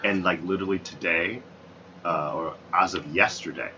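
Male, deep voice, easygoing agreeable co-host, muffled recording with clear hiss. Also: normal speed. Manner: relaxed, agreeable, frequent affirmations. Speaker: podcast co-host. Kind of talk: casual pop-culture chat.

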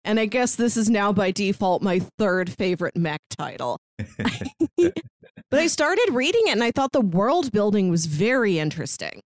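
A woman's voice: medium pitch, sing-song, moderate pace, no room sound, studio quality. A woman co-hosting a podcast in casual conversation about manga, with a wry, joking way of talking. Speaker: podcast co-host.

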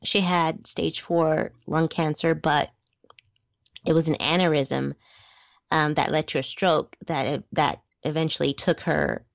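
A woman's voice: deep voice, level tone, unhurried, vocal fry, no room sound. A woman with a youthful-sounding voice, chatting casually as a podcast guest, restarting words and laughing often. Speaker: guest, small business founder. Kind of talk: podcast interview, casual storytelling.

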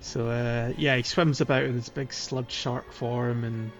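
Male co-host for a game walkthrough podcast, medium pitch, conversational and a bit halting, soft music bed. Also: fairly even intonation, highs cut off. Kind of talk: casual game walkthrough chat.

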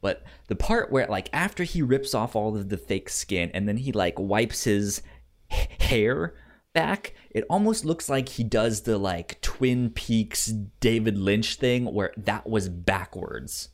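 A man chats casually as co-host of a film podcast, at a slow pace. He speaks haltingly, with a stutter that repeats sounds and words several times over. Now and then he slips into acting out a paraphrased line. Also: on the low side, lively intonation. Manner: halting, acts out paraphrased lines. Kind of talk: casual chat about a film.

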